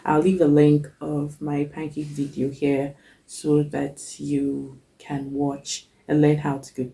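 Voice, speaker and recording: A young woman talks slowly through a kitchen list, pausing on ums. Her voice is low and dark, with a gravelly edge, and she sounds stuffy from a cold. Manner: chatty, list-like, frequent ums. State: has a cold. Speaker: cooking channel host. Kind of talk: casual talk to camera.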